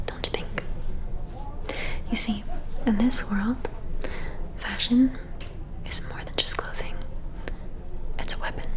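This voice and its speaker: Casting: actress as vain, catty vampire lady for ASMR roleplay; hushed whisper, unhurried disdainful drawl. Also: on the high side, lightly acted, rough recording. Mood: silky, conspiratorial. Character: haughty, catty vampire noblewoman, vain about fashion.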